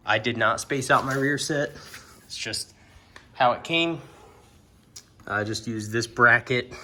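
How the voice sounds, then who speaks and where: A man giving a casual hands-on product walkthrough in a plain-spoken, somewhat hesitant way. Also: on the low side. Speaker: amateur motorcycle reviewer. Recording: clear steady background noise.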